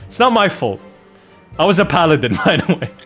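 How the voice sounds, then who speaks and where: Animated man telling stories over music. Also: a little high, fairly quick. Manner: animated, jokey storytelling with mock-innocent protests. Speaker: streamer playing a video game and host of the channel. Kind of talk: casual anecdotal chat while streaming.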